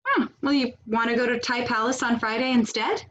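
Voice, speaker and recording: Woman acting a high, exasperated, organized activist leader. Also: fairly even intonation, fairly quick, fairly bright tone, highs cut off, lightly acted. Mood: resigned, casual. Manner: pointed, sarcastic, emphatic. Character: organized, exasperated, bossy female protest organizer. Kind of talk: comedic stage acting over video call. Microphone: video call microphone.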